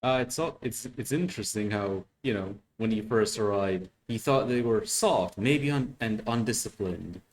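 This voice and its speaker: Halting man giving casual commentary. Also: lowish voice, a little hiss or hum, low-quality recording. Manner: halting, thinking aloud. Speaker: reaction video host.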